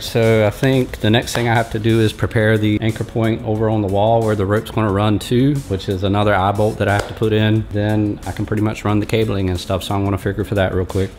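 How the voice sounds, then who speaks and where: A man in his forties giving a relaxed, folksy step-by-step how-to walkthrough in a large metal workshop, talking close to a handheld camera. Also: fairly low pitch, fairly quick, few pauses, faint music behind. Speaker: fishing channel host. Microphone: handheld or head-mounted camera close up.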